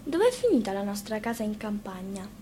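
An earnest little boy from a fairy tale speaks pleadingly to his family, voiced by a woman storyteller. The pitch is a middle one for a woman. Slight noise sits under the storybook reading. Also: big pitch swings, a little fast, warm tone, natural voice. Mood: sudden questioning. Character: small lost boy, earnest, long away from home. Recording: faint noise, live radio broadcast.

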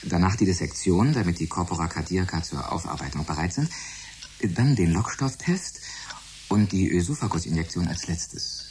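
Casting: eccentric scientist, low voice, precise and absorbed, radio drama over faint sizzling ambience. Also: male voice, animated, moderate pace, darkish tone, slight background noise. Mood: businesslike. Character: eccentric, fussy male laboratory scientist, absorbed and polite. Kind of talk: German radio drama acting.

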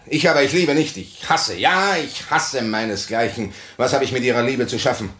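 Hardened revolutionary ex-convict, 1950s radio drama: low, highly animated, fierce, bitter, declamatory. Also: male voice.

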